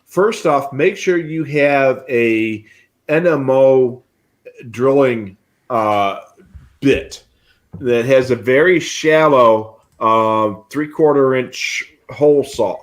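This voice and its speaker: A man's voice: medium pitch, slow. A middle-aged man with an American accent co-hosting a livestream, explaining things in a folksy, conversational way. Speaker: co-host of a radio scanner show. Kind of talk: casual explanatory talk on a livestream. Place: indoor home radio room on a video call.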